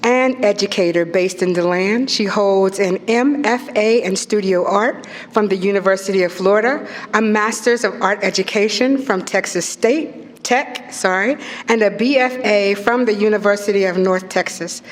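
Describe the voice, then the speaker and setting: A woman's voice: mid-range voice, normal speed. A woman presenting an arts panel, introducing the speakers and reading their bios. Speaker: event presenter. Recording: long hall reverb with music.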